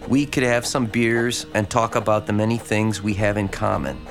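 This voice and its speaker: An actor playing a lonely, obsessive small-town horror fan, earnest, halting and awkwardly familiar, as if dictating a letter in an audio drama. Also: male voice, on the low side, vocal fry. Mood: hopeful, awkward. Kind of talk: dramatized letter read as monologue.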